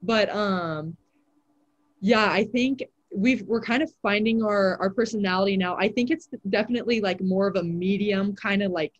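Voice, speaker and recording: A teenage girl, a high school volleyball player, answering interview questions in a casual way with lots of "like" fillers. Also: moderate pace, lo-fi sound. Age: teens. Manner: chatty, many "like" fillers.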